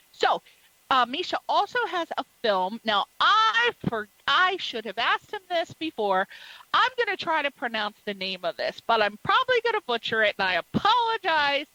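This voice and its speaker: A woman's voice: sits high, bright, forceful tone, no room sound, rough recording. A woman hosting a radio interview show, gushing with praise and chatting casually with her guest.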